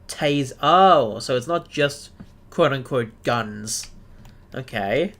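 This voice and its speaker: A man's voice: on the high side, a bit bright. Chatty Australian man on a live stream. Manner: chatty, searches for words, self-deprecating. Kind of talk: casual live-stream chat.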